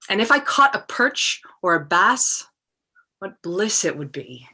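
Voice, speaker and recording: A woman playing a world-weary, self-absorbed male novelist in a measured, musing way, reading a classic play over a video call. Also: mid-range voice, big pitch swings, sharp tone, full character voice. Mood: reflective. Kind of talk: cold read of a classic stage play.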